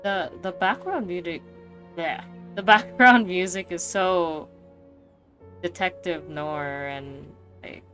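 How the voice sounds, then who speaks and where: Slow-talking young woman game streamer. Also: on the low side, fairly bright tone, soft background music, low-quality recording. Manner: informal, slangy asides. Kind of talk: casual reaction commentary over gameplay.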